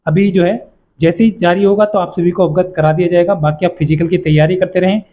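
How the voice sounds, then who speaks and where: A man's voice: sits high, fairly even intonation, a little fast, warm tone, lo-fi sound. A man presents an informal exam update. He explains things step by step in an instructive, reassuring manner. He tends to repeat his points for the listeners.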